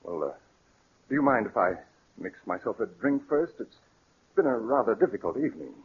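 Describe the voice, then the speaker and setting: Swooping, scheming radio-drama murderer. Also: male voice, lowish voice, sing-song, a little fast, breathy edge, heavily acted. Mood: falsely genial. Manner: theatrical, hesitant politeness with coaxing undertone. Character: smooth, scheming male murderer, nervy yet falsely solicitous. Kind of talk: 1940s radio mystery acting. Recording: muffled, lo-fi 1940s radio recording.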